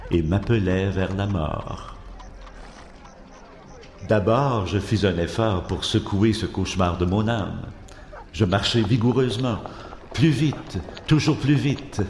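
Man playing a slow, haunted condemned murderer, reverberant. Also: fairly low pitch, a little mellow, slight background noise. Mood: frantic. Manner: solemn, deliberate, theatrical phrasing. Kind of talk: dramatic literary audiobook narration. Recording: echoey hall-like reverb.

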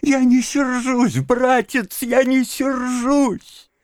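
A man's voice: very high-pitched, little pitch movement, drawn-out words, dry recording, pitched above the performer's own voice, exaggerated voice. A man voicing an agitated clerk in an audiobook, a master who wheedles and repeats himself and splutters insults at his servant. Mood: coaxing, pleading. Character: agitated, exasperated middle-class clerk master, pleading then raging. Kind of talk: dramatised audiobook of a classic novel.

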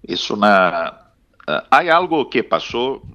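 A man's voice: fairly low pitch, big pitch swings, slow, pushed and bright, no room sound, lo-fi sound. A man speaking Spanish as a second language in an interview, hesitant and full of restarts, yet speaking with urgent conviction. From Palestinian community. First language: not Spanish. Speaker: interview guest. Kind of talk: halting interview answers.

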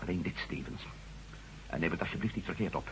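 An actor playing a headstrong, indignant reporter and former astronaut in a radio drama, emphatic and sardonic, with a husky voice. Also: male voice, sits low, brisk, a little hiss or hum. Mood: grave, insistent. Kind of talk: Dutch radio drama acting. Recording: dull, lo-fi old radio recording.

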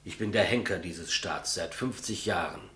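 Low-voiced, polite old executioner. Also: male voice, moderate pace, pushed and bright. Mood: calm, polite. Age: older. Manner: deferential, plain, businesslike. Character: elderly male state executioner, polite, clumsy, matter-of-fact. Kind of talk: 1950s German radio play acting.

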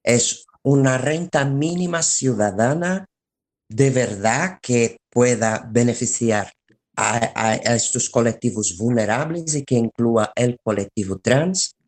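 A radio interview guest who speaks slowly at a middling pitch. The phrasing is halting, with words repeated while explaining. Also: a little mellow. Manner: hesitant, halting phrasing with repetitions. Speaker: interview guest, collective representative.

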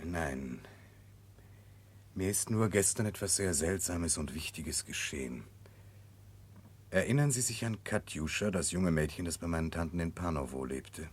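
A husky, low-voiced remorseful prince. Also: male voice, expressive, exaggerated voice. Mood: subdued, grave. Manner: grave, deliberate, insistent confession. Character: remorseful, earnest Russian prince resolved to atone. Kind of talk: 1960s German radio drama acting.

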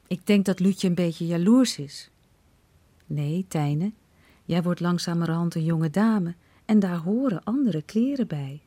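An actress playing Marietje, a gentle, motherly village mother, in a radio drama. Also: lowish voice, a little slow, dry recording. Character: warm fussing mother Marietje, middle-aged.

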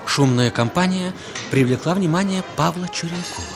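A man's voice: fairly high pitch, normal speed. A man giving dramatic scripted narration for a 1960s Soviet radio play. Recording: 1960s radio recording with loud music.

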